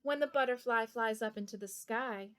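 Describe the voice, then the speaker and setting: A woman's voice: fairly high pitch, normal speed, fairly bright tone. A woman reading a picture book aloud for young children, addressing her young listeners directly. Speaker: children's storybook reader.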